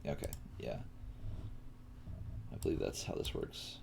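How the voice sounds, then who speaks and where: A man teaching coding on a live stream, casually thinking aloud and often questioning himself as he works. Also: measured pace. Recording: faint typing and room noise. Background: computer keyboard typing and clicking.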